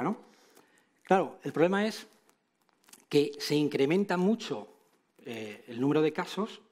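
Middle-aged male conference speaker, Madrid Castilian accent, mid-pitched, informal and wry, checking in with tag questions. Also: a little mellow. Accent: Castilian Spanish from Madrid. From Spain. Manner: conversational, frequent tag questions, wry asides. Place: large auditorium stage, indoors.

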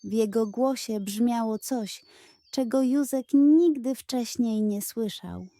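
A woman narrating a scripted children's story in a gentle, measured, expressive way. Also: fairly high pitch, dry recording.

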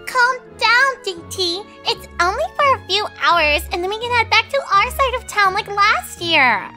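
A woman's voice: very high-pitched, big pitch swings, normal speed, over music, pitched above the performer's own voice. A woman voicing a spoiled, snobby young female pony, petulant and sarcastic, in a story reading. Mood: calming.

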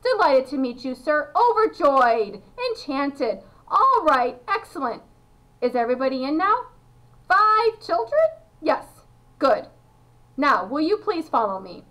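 A high, swooping voice for an eccentric little factory owner, acted out by a woman. He is effusive and excitable, piling up exclamations and repeats in storybook style. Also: a little slow, heavily acted, rough recording. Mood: overjoyed, bustling. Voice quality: high-pitched.

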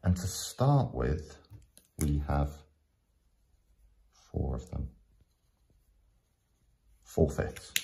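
Low, slow-talking male teacher. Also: big pitch swings, creaky, dark tone. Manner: patient, explanatory, with frequent pauses. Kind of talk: step-by-step maths tutorial narration.

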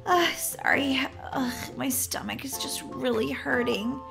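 Woman acting a whiny, high-pitched sick young wife. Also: a bit bright, faint music behind. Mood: groggy, queasy.

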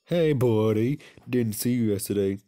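A popular schoolboy from an anime visual novel, voiced by a man. He is loud, breezy and friendly, with a cocky streak and a fairly low voice. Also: unhurried, lightly acted. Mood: cheerful, cocky.

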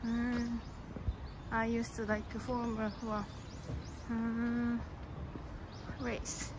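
A woman's voice: a little high, monotone, extremely slow. A young woman from Japan with a Japanese accent, chatting casually on a live stream while walking outdoors and holding the camera at arm's length. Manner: chatty, reads viewer comments, hums song snippets. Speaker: vlogger. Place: suburban street outdoors, walking. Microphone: phone or action camera at arm's length. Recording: noisy outdoor street sound.

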